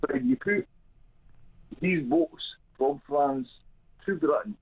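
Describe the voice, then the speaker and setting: Scottish male caller, blunt and halting, slow delivery, phone-line sound. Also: high-pitched, sing-song, vocal fry, slightly rough. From Scotland. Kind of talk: phone-in call.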